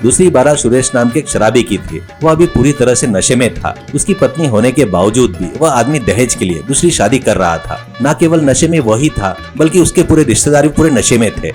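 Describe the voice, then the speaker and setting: Animated male storyteller over music. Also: medium pitch, fairly quick, hardly any pauses. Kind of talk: scripted comedy story narration.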